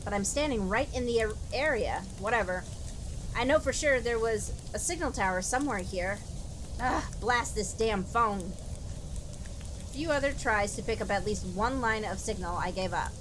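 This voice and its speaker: A woman's voice: a little high, animated, normal speed, noisy background. A woman playing through a game with casual, wisecracking commentary, reading the text aloud, with music behind her. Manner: sardonic, wisecracking. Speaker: game streamer.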